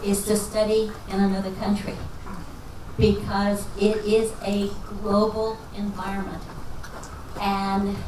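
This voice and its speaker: A woman's voice: mid-range voice, completely flat delivery, slow. A woman from Texas, a finance professor, answering audience questions at a lecture in a folksy, self-deprecating way. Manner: folksy and self-deprecating, jokes and laughs as she talks. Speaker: guest speaker, finance professor. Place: lecture hall. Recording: echoey hall recording from far off, with noise.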